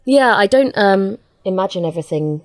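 A woman's voice: mid-range voice, animated, a little mellow, no echo, clean studio sound. A woman being interviewed as an audio drama writer, chatting casually and often stopping to rephrase herself. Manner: halting, self-correcting.

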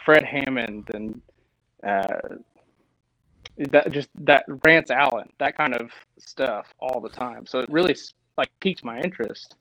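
A man's voice: mid-range voice, a little slow, dry recording, rough recording. Young man, from Tennessee, chatty, laughs a lot, dry recording. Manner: animated, laughs often, lots of "like". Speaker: lead singer, guest. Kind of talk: casual storytelling interview.

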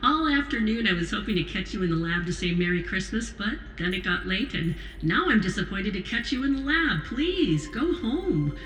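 A woman's voice: mid-range voice, big pitch swings, moderate pace, hardly any pauses, fairly bright tone, echoey room, slight background noise, low-quality recording. A woman playing a gracious, wry national leader in an audio drama, speaking in a polished, composed way. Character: female president, gracious, wry, authoritative leader.

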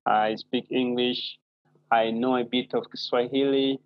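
A man's voice: medium pitch, little pitch movement, unhurried, no echo, dull audio. A man in his thirties with a Ugandan accent, a native Acholi speaker, answering as an interview guest in a polite, thoughtful way. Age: 30s. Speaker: guest author.

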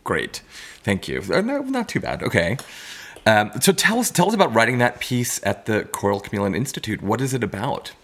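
A man's voice: mid-range voice, very animated, fairly quick, vocal fry, fairly bright tone. A man co-hosting a podcast, asking earnest questions and poking fun at his own pronunciation. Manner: earnest, self-deprecating, stumbles over words. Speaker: podcast co-host. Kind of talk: podcast interview.

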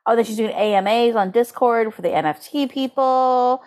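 A woman's voice: fairly high pitch, moderate pace, darkish tone. A woman co-hosting a podcast in casual comedic chat, talking informally and incredulously, sometimes reading aloud with drama. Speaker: podcast co-host.

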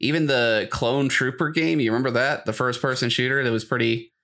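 Casual man podcast co-host, mid-pitched voice. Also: steady tone, a little fast, a bit bright. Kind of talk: casual discussion chat.

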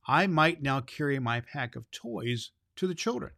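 A man's voice: on the high side, very animated, normal speed, gravelly, bright, forceful tone, exaggerated voice. A man voicing a kindly, merry storybook toymaker with a thoughtful, hearty manner.

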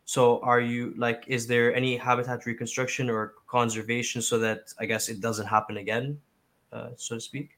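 A man's voice: mid-range voice, little pitch movement, moderate pace, fairly bright tone. A man hosting a podcast, asking his guests questions in an informal, friendly way. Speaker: podcast host. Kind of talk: casual interview questions.

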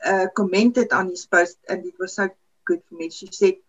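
A woman's voice: mid-range voice, fairly even intonation, moderate pace, breathy edge. Woman with a lo-fi sound. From South Africa. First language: Afrikaans. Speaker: podcast panelist. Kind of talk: casual chat.

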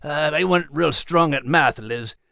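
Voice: medium pitch, unhurried, creaky, pushed and bright, no room sound, studio quality. An actor voicing a jolly, blunt animal character with a Scottish accent and a folksy, teasing manner in playful podcast banter. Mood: puzzled, blunt.

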